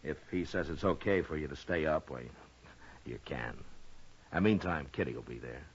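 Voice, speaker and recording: An actor playing a calm, fatherly frontier marshal who speaks in a measured, gently hesitant way, in old western radio drama style. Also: very deep voice, breathy edge, full character voice. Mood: gentle, reassuring. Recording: muffled old radio recording with hiss.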